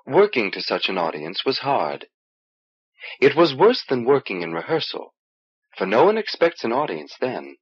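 A man with a husky voice narrating a fantasy novel in an expressive, measured storytelling delivery, on an old cassette transfer. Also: medium pitch, highs cut off. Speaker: audiobook reader.

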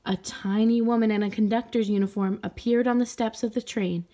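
A woman's voice: a little high, steady tone, brisk, warm tone, slight background noise. A woman reading a storybook aloud expressively, as if to young listeners. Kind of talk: storybook read-aloud narration.

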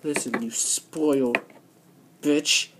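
A woman voicing a stern female wrestling boss who talks low and clipped. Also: fairly even intonation, slow, background noise.

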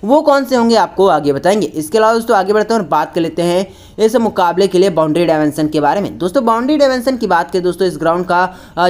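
Casting: female sports preview presenter, very quick delivery, slightly low pitch, scripted and formulaic. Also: a little mellow. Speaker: cricket match preview presenter. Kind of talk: scripted sports preview narration for online video.

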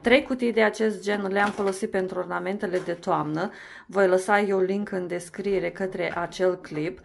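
A woman's voice: mid-range voice, steady tone, creaky, slight background noise. A young woman chatting in a casual voice-over about cleaning and organizing her kitchen, rambling with fillers and restarts. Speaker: home vlogger.

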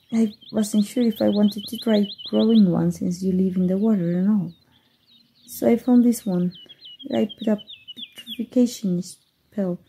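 A woman's voice: mid-range voice, warm tone, slight background noise, natural voice. A woman voice actor voicing a playful, flustered young fairy in an ASMR roleplay, giggling and stammering shyly.

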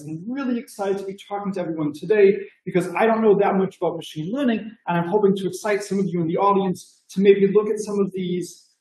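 A man's voice: high voice, warm tone, reverberant, poor audio. A middle-aged man with a Boston accent giving an enthusiastic, conversational math conference talk over a video call, with many "you know" fillers. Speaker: mathematics lecturer.

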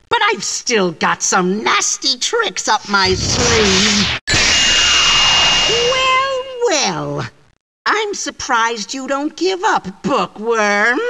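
A man's voice: very high voice, vocal fry, very noisy, full character voice. A man voicing an evil old magician in a cartoon video game, taunting and melodramatic, gleefully hateful of clever children. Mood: irritated taunting. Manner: taunting, melodramatic, drawn-out sneering delivery. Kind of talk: theatrical cartoon villain acting in a video game. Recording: loud music behind the voice. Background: loud music.

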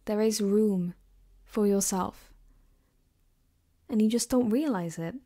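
A woman playing a gentle, caring girlfriend in an ASMR roleplay, speaking softly and hesitantly, sometimes whispering, close to the mic. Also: medium pitch, slow. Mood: tender, reassuring. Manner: soft-spoken, hesitant, rambling. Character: gentle caring girlfriend, comforting her partner. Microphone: close binaural microphone.

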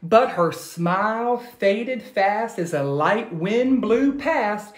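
An American man, a teacher in his thirties, reading aloud to children and asking them questions in an expressive, instructional way, recorded on a phone at home. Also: high voice, slow. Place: small room at home, indoors. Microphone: phone at arm's length. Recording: echoey room, phone recording.

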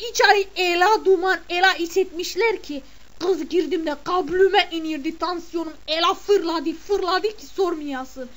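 Woman doing a middle-aged village mother, very high voice, chatty, exclamatory, scolding. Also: unhurried, a bit bright. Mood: gossipy. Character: gossiping village mother of the bride, indignant. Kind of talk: comic character monologue.

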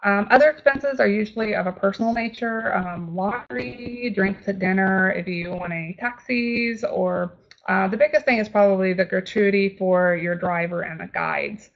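Texas lady doing a travel webinar, friendly and chatty, bit of fry, dull lo-fi audio. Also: mid-range voice, normal speed. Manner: friendly, chatty, with frequent ums and restarts. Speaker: travel agent presenting a webinar. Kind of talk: webinar presentation.

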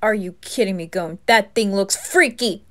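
A woman's voice: medium pitch, normal speed, fairly bright tone, natural voice. A woman voicing nervous, skeptical young anime lads, good-hearted and casual, with a hesitant, exasperated manner. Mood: alarmed, exasperated. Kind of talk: anime fan roleplay ASMR.

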